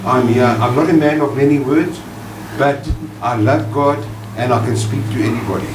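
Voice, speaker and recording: An older man gives a plain, halting, sincere personal testimony at a church service. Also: medium pitch, very noisy. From South Africa. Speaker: church visitor giving testimony.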